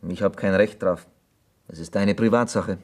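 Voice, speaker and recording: Actor as sober, low-voiced male confidant. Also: steady tone, darkish tone, natural voice. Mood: grave, calm. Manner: measured, matter-of-fact. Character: sober, probing male confidant to a farm boy. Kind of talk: German radio drama acting.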